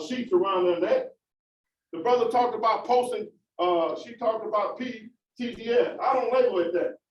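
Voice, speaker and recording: High-voiced guy giving a fired up talk at a panel, echoey room, far off mic. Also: a little mellow. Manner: emphatic, preacher-like repetition. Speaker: formerly incarcerated community speaker. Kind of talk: impassioned panel remarks. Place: large meeting room. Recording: roomy, distant, dull audience recording.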